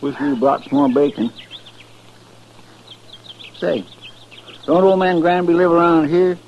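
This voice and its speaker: High-pitched folksy western sidekick. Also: male voice, unhurried. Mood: hungry, grumbling. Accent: rural American West. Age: young adult. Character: young folksy cowboy sidekick, plaintive and eager. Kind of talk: old-time radio western acting. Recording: muffled, noisy old radio recording.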